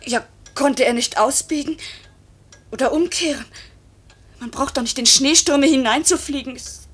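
A distraught young pilot's wife in a 1950s German radio play. Her voice is fairly high and husky. She presses with urgent, accusing questions and pleads for the truth. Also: moderate pace, fairly bright tone.

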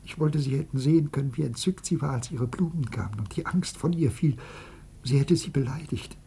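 An actor playing a careworn, tender old father in a radio drama, halting, pleading and apologetic, with a husky, creaky voice. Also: medium pitch, warm tone. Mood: wistful, sorrowful. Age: older.

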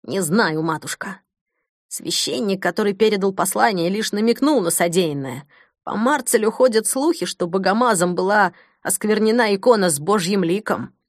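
A woman's voice: mid-range voice, lively intonation, moderate pace, no room sound, studio quality, close to an everyday voice. A woman voicing a wounded, jealous young man in an audiobook, earnest and hurt. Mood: subdued. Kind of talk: audiobook character acting.

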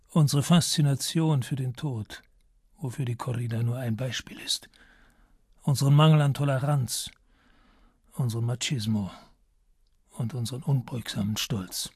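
A man's voice: medium pitch, unhurried, pauses often, breathy edge, no echo, studio quality. An actor playing a small, dapper older Spanish man who speaks formally and courteously while praising the old dictatorship, in a radio play. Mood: measured. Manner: formal, courteous, quietly ideological.

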